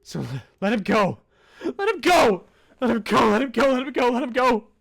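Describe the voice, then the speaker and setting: A man's voice: very high-pitched, very animated, normal speed, vocal fry, fairly bright tone, voice pushed up, exaggerated voice. A man voicing a desperate, insistent young woman from a visual novel, pleading and demanding with heavy emphasis. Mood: frantic, demanding. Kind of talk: visual novel dialogue read aloud.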